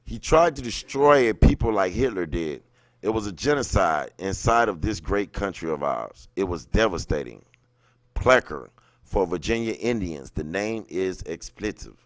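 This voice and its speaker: A man's voice: deep voice, lively intonation, normal speed, no echo. A man hosting a livestream, reading an article aloud and adding blunt, colloquial comments of his own. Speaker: livestream host.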